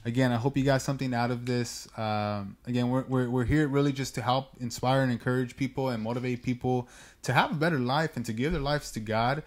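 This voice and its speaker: Young man, podcast co-host, casual and joking, encouraging, middle pitch. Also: steady tone, a little fast.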